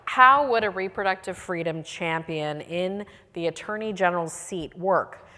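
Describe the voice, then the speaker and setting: A woman's voice: fairly low pitch, normal speed, bright, forceful tone, big-room sound, slight background noise. A woman from Texas moderating a political panel, asking prepared questions. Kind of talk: panel interview.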